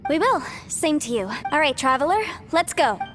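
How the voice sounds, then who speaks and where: A woman's voice: sits high, big pitch swings, normal speed, over music. A woman voicing a perky, confident young lawyer and sorceress in a video game, articulate and breezy with a playful legal turn of phrase. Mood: helpful, brisk.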